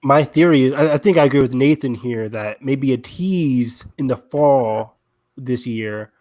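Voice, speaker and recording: A man's voice: medium pitch, unhurried, darkish tone, no room sound, studio quality. A man co-hosting a podcast, chatting casually as a fan. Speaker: podcast co-host.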